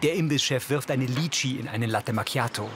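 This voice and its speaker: A man's voice: medium pitch, fairly quick, background noise. A man reading scripted audio description in a neutral, factual way, narrating in the present tense.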